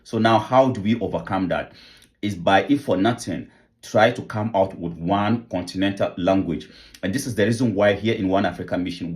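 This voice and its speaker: Deep-voiced earnest man hosting a channel. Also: darkish tone. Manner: earnest, preaching, repetitive rhetorical questions. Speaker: channel host. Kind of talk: vlog commentary, appeal to viewers.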